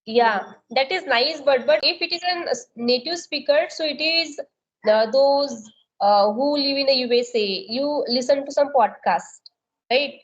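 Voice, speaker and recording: Indian woman tutor, Indian English accent, high voice, slow careful questions, muffled video-call audio. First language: Marathi. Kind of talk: conversation practice session.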